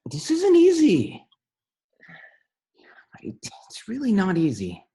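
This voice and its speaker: A man's voice: high-pitched, wildly swooping pitch, slow pace, dark tone, husky, exaggerated voice. A man acting a sardonic, heartbroken young guy in a table read, in a blunt, teasing, conversational way. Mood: upset.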